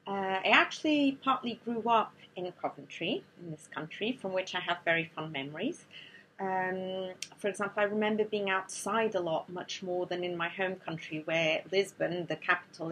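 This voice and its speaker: A woman from Portugal, giving a measured, explanatory talk to students as a neuroscientist. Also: lowish voice, fairly bright tone, husky, poor audio. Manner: measured, explanatory, with a few restarts.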